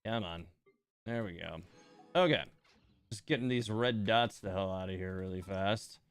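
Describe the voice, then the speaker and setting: Male game streamer, clear smooth voice, casual and exasperated, muttering asides to chat. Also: low-pitched, normal speed, faint music behind. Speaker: livestream host playing a gacha game. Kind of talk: casual livestream chat and commentary.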